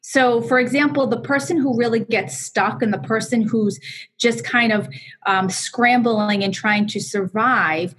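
Woman, Boston accent, breathy, podcast guest, explanatory. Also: a little high, a little slow, fairly bright tone, rough recording. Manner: explanatory, uses fillers like um. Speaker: guest, divorce lawyer. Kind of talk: conversational interview answers.

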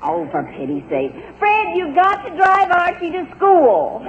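A woman's voice: very high voice, wildly swooping pitch, a little slow, full character voice. Harried, caring but bossy mother, emphatic 1940s sitcom lines, noisy narrow broadcast. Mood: exasperated. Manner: exasperated, emphatic. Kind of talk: 1940s radio sitcom acting.

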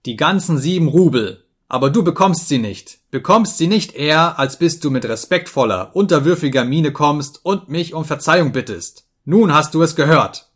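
A man voicing an overwrought, proud master who shouts furious, repetitive commands at his servant in an audiobook story. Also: on the high side, lively intonation, normal speed, hardly any pauses, husky, pitched above the performer's own voice. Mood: enraged.

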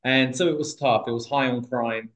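A man's voice: mid-range voice, fairly even intonation, normal speed, a bit bright. Hesitant man telling his story. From Portugal. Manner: hesitant, many restarts and fillers. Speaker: podcast guest. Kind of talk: casual interview storytelling.

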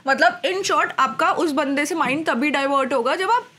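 A woman, co-hosting a podcast in a lively casual chat, laughing often and switching between Hindi and English. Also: sits high, normal speed, a little hiss or hum. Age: 20s. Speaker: podcast co-host.

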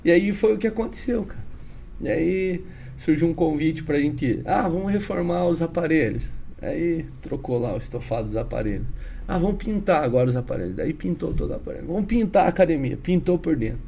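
A Brazilian man from the interior of Paraná, telling his life story as a podcast guest in a lively, chatty way, peppered with "cara" and "né". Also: fairly high pitch, a little fast, gravelly, slight background noise. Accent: Paraná interior Brazilian Portuguese. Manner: animated, chatty, frequent "cara" and "né".

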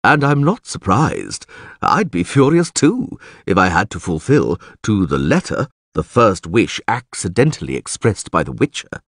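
A man voicing a grave, knowing male character in an audiobook, who explains and warns in a measured, ominous way. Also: fairly low pitch, sing-song, vocal fry, dry recording, studio quality, heavily acted. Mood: matter-of-fact.

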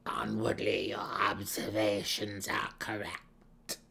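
A man voicing a withered old female dark elf matriarch, sly and imperious, in a measured, mysterious fantasy audiobook delivery. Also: on the low side, flat delivery, creaky, husky, heavily acted. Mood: calm, menacing.

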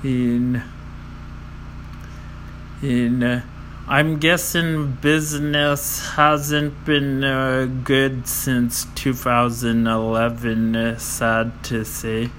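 Very slow, halting man reading a list. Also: medium pitch, flat delivery, fairly bright tone, slight background noise. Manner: slow, halting, with many fillers and self-corrections; stumbles over foreign names and apologizes. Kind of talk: reading a list of world records.

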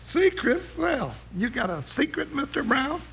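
A man playing a comic, excitable cowboy sidekick with folksy slang in an old-time radio Western. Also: extremely high pitch, unhurried, gravelly, heavily acted. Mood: folksy, wry. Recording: noisy old radio recording.

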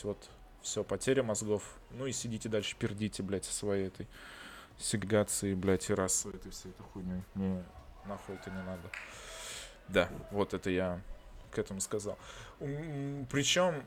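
Russian-born guy ranting casually, swears a ton, gets animated. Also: on the low side. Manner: swears a lot, animated and blunt. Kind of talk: casual chat. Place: lives in Israel.

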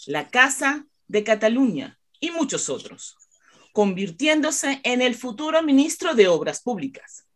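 A woman narrates a radionovela from a script. Her fairly high voice swings expressively, with faint music underneath. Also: a bit bright.

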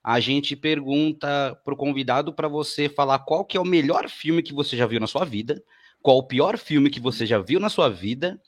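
A man with a São Paulo accent hosts a casual podcast. He talks in a chatty, informal way and often repeats words as he goes, at an ordinary mid pitch. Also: moderate pace. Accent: São Paulo Brazilian. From Guarulhos, Brazil. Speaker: podcast host.

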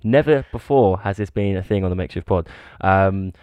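A man with a slightly low voice hosts an informal podcast interview. He banters easily and repeats answers back as he asks questions. The recording is dry and free of room echo. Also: a little fast, a little mellow. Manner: bantering, echoes the guest's words. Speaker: podcast host. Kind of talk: casual chat interview.

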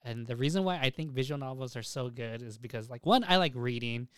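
A young man chats as a podcast host in a clean, smooth voice at a medium pitch. He is enthusiastic and opinionated, talking in loose slang with plenty of swearing. The sound is close and dry. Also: brisk, a bit bright, no room sound. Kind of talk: casual podcast chat.

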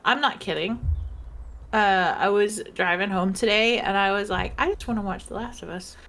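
A woman's voice: mid-range voice, normal speed, fairly bright tone. Woman, podcast co-host, casual chat, rambling, giggly, tipsy, tired. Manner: rambling, giggly, lots of fillers. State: tipsy and socially drained.

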